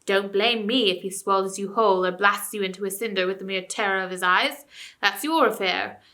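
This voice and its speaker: A woman voicing a scheming male ape who jeers and mocks the crowd of animals loudly. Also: brisk. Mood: taunting. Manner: loud, mocking and shrill.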